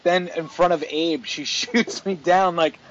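A man's voice: on the high side, fairly even intonation, a little slow, fairly bright tone, slight background noise. A man co-hosting a talk show in casual, chatty banter. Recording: dull lo-fi stream audio.

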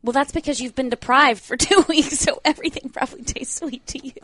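A woman's voice: high voice, fairly quick, a bit bright, slight background noise. A woman co-hosting a talk radio show, chatty and laughing often. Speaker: co-host.

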